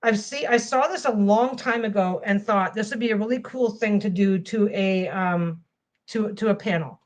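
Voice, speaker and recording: A woman talks through her quilting project at home in a loose, meandering way. She restarts her sentences again and again as her thoughts wander. Her voice sits at an ordinary middle pitch. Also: moderate pace. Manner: rambling, restarts phrases often. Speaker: quilting vlogger. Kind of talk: casual chat while sewing.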